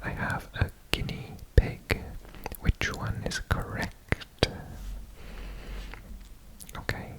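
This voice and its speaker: A man from Wales, whispering a quiz about himself for an ASMR video, repeating single words softly over and over. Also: very slow, pauses often, poor audio. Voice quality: whispered throughout. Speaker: ASMR creator.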